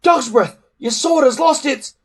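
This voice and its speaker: A man's voice: very high-pitched, unhurried, voice pushed up. A man voicing an anxious, earnest young Viking boy in a storybook, urgent and pleading. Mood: alarmed, pleading.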